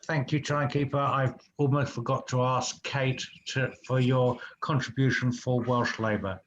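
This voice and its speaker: A man's voice: medium pitch, steady tone, moderate pace, lo-fi sound, slight background noise. A man chairing a hustings, speaking politely and a little apologetically. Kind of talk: moderating a debate.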